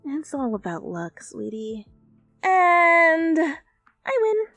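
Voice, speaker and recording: Woman playing cheeky, higher-pitched teasing girlfriend. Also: extremely slow, no room sound, soft background music, heavily acted. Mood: teasing, smug. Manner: teasing, animated. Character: playful, teasing young girlfriend, cheeky and affectionate.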